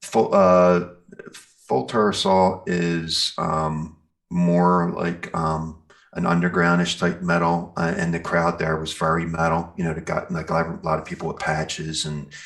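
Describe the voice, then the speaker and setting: A man speaking as a musician guest in a casual interview, telling stories in a rambling, halting way. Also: deep voice, little pitch movement, gravelly, a bit bright. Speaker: guest, metal band singer and guitarist. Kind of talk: casual interview chat, recounting festival gigs.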